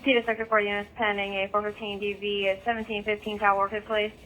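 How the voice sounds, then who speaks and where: A woman's voice: mid-range voice, little pitch movement, quick pace, a bit bright. A woman working as a police dispatcher, reading out calls in a clipped, matter-of-fact way over police radio. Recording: noisy police scanner radio feed.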